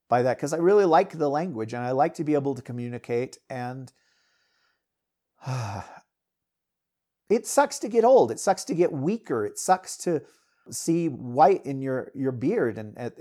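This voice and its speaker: A middle-aged American man, a podcast host and writer, talking alone in a rambling, candid way, his loudness rising and falling a lot. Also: medium pitch. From United States. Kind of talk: casual solo talk.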